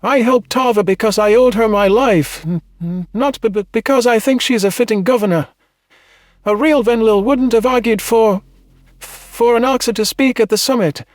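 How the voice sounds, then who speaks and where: A man's voice: high voice, dry recording, studio quality, lightly acted. A man voicing a bitter, mumbling, stammering alien rescued from captivity in an audio story. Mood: bitter, halting. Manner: halting, stammering.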